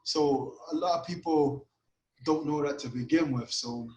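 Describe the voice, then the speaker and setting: Animated Toronto man on a roomy call. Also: fairly high pitch, measured pace. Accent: Toronto, Caribbean-influenced. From Canada. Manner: animated storytelling, lots of "you know what". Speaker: podcast guest, grime artist. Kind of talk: casual chat over video call. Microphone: video call.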